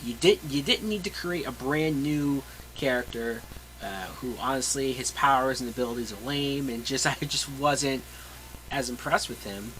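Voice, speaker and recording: A man's voice: mid-range voice, normal speed, pushed and bright, slight background noise, low-quality recording. A man reviewing a movie in a casual, opinionated way, with emphatic, blunt and sweary delivery. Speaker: film reviewer.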